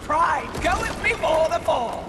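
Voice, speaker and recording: Grandiose, creaky-voiced gloating villainess. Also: female voice, extremely high pitch, expressive, full character voice. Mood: mocking. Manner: grandiose, gloating, drawn-out delivery. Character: gloating, power-hungry female embodiment of envy. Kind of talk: theatrical video game villain acting. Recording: very noisy, echoing cavernous hall.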